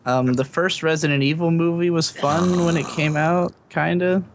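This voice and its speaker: A man's voice: on the high side, steady tone, moderate pace, slight background noise. Rambling young man chatting casually. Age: 20s. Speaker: podcast co-host. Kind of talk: casual chat.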